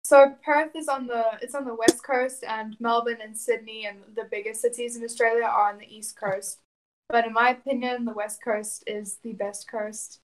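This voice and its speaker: A young woman from South Africa talks through her story in an even, level tone with little rise and fall. She answers questions plainly and steadily, now and then with a soft laugh. Her first language is Afrikaans. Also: fairly high pitch, moderate pace, a little hiss or hum, poor audio. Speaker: interview guest. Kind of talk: conversational interview answers.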